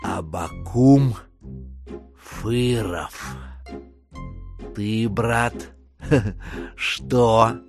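An actor playing a sly, self-amused gentleman schemer who muses aloud theatrically in an audio drama. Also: medium pitch. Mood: wistful musing.

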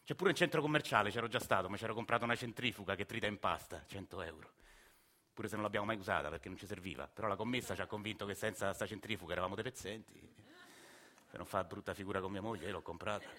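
An actor in his forties playing a working-class Roman father who tells his story in a quiet, wry, confiding way, with a Roman accent. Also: lowish voice, fast talker. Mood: calm recounting. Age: 40s. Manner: soft-spoken, rambling, wry confiding storyteller. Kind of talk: radio drama monologue.

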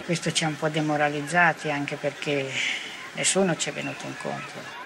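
An older man gives oral testimony about his own past. His voice is a bit high-pitched for a man. There is slight noise behind him. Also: fairly even intonation, brisk, creaky, crowd noise. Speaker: former partisan giving testimony.

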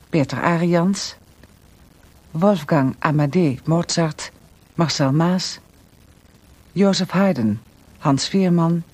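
Male credits announcer: formal, measured, slightly high register, very dry close-mic sound. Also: darkish tone, dry recording. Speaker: radio drama announcer. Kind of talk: formal read-out closing credits.

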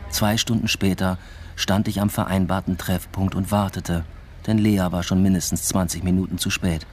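An actor playing an aimless doctoral student in a radio drama, speaking in an understated, reflective way. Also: male voice, low-pitched, level tone, normal speed, a little mellow, slight background noise, natural voice. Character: aimless, evasive male German doctoral student narrating his New York stay. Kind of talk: literary radio drama, first-person narration and dialogue.

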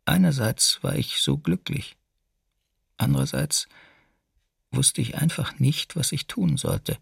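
An actor playing a former camp prisoner, recounting the ordeal in a restrained, deliberate way for a documentary radio drama. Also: male voice, medium pitch, lively intonation, vocal fry, husky, no echo, studio quality, full character voice. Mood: restrained, pained. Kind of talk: documentary radio drama, first-person testimony.

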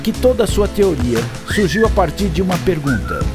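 A man's voice: sits high, very animated, a little fast. A man narrating a radio drama in a scripted storytelling style. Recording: clear music bed under narration.

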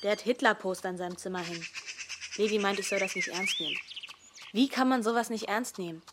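A woman's voice: medium pitch, fast, close to an everyday voice. An actress playing a frank, lovestruck teenage girl in a radio drama, speaking as if interviewed. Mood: dismissive. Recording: loud background noise with birdsong.

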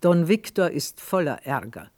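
An actor as a terse, observant storyteller, reading literary radio-play narration in measured, clipped phrases. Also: male voice, fairly high pitch, big pitch swings, darkish tone, no echo. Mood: wry, observant.

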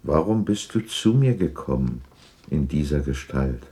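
A man's voice: extremely low pitch, animated, measured pace, dark tone. An actor playing an old miser, uneasy and hesitant as he questions spirits, in a 1960s German radio drama. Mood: disbelieving, uneasy.

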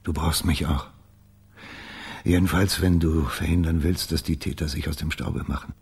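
An actor playing an evasive, self-justifying agency boss in a radio crime drama, measured and defensive. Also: male voice, rumbling low, steady tone, darkish tone, husky, exaggerated voice. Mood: contrite, measured. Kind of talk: German radio play acting.

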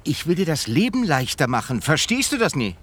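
A man's voice: fairly high pitch, big pitch swings, brisk. An actor playing a brash, impatient young man, a grown son who speaks urgently and insistently in a naturalistic radio drama. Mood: insistent, pleading.

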